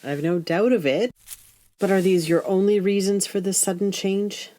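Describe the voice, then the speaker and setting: A woman playing a wise, calm middle-aged mother in a period audio play. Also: mid-range voice, normal speed, natural voice. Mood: calm, concerned.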